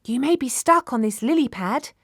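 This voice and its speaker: Woman as a high, kindly little fish. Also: lively intonation, normal speed, no echo, studio quality. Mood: reassuring. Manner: gentle, reassuring. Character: kind, helpful fish.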